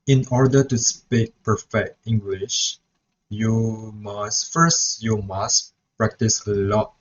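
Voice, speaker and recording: A man's voice: fairly low pitch, slight background noise. A young man who grew up speaking Mandarin, talks through a reflection vlog as a student teacher, hesitant and full of "so yeah" restarts. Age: young adult. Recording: dull lo-fi recording.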